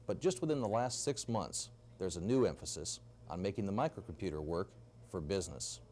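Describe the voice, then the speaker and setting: Lively low-voiced man news reporter. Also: fairly quick. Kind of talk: scripted news report narration. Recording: faint noise, archival 1980s TV broadcast.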